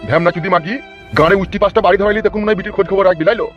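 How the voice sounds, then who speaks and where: A man's voice: high voice, very fast, faint music behind, close to an everyday voice. A man acts out a blustering, foul-mouthed cartoon father in dull, lo-fi sound. He scolds at breakneck speed. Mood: ranting, threatening. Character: irate, foul-mouthed middle-aged village father and husband. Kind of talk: amateur comedy cartoon dub.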